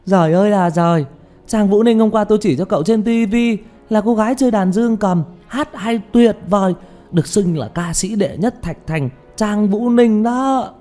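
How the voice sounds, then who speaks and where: A man voicing an excitable, star-struck young male sidekick who gushes and exclaims in an audiobook story. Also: high-pitched, normal speed, faint music behind, voice pushed up, close to an everyday voice. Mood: ecstatic gushing. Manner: exclaiming, gushing, stammering with excitement. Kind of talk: audiobook character voice.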